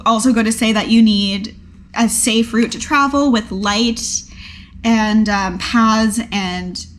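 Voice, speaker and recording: A woman's voice: a little high, steady tone, takes it slowly. A woman playing a tabletop role-playing game, bantering casually in an earnest, playful way.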